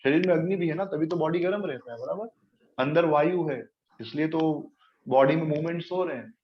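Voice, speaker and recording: A man's voice: on the high side, a little fast. A man giving an explanatory spiritual lecture as a teacher, asking his listeners rhetorical questions and mixing in English terms. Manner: asks the listeners rhetorical questions and checks they follow. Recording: echoey room, dull lo-fi sound, faint noise.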